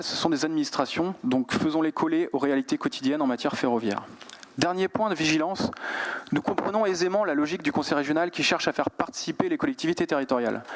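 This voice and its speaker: A man from Brittany, France, speaking as a regional councillor in a formal, measured, articulate political speech, partly read. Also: a little high.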